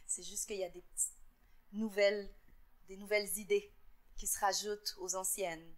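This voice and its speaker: A woman's voice: medium pitch, level tone, normal speed. Earnest Québécois woman, reflective. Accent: Québécois French. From Montreal, Quebec. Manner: earnest and reflective, with frequent fillers and repeated words. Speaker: interviewee, entrepreneur. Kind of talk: interview answers.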